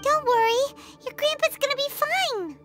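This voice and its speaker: Super high squeaky lil fairy girl, whiny n excitable, game voice, music behind. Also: moderate pace. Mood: reassuring. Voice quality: squeaky, childlike. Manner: whiny, exclaiming, speaks of herself in third person. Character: tiny excitable floating fairy companion girl. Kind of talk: video game voice acting.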